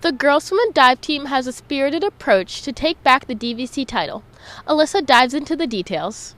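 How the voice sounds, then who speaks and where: Woman, student news host, scripted, upbeat, fairly high pitch. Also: expressive, moderate pace, fairly bright tone.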